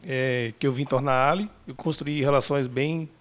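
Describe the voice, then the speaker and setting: A man's voice: medium pitch, steady tone, normal speed. Bahian man telling his career story. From Salvador, Brazil. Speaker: guest, business analyst. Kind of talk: casual podcast interview.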